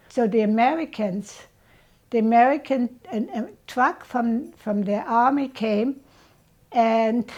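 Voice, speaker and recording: A woman, telling her childhood story as an interviewee in a slow, reflective way. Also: fairly high pitch, darkish tone, highs cut off. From Germany. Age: 80s. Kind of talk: reminiscing about childhood.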